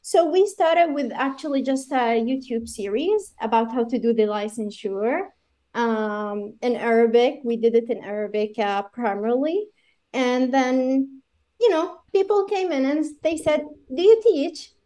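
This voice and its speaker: A woman from Iraq, a pharmacist, telling her story as a guest in an interview with lively asides and many fillers. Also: high-pitched, measured pace. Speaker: guest, pharmacist running licensure courses. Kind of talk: conversational interview.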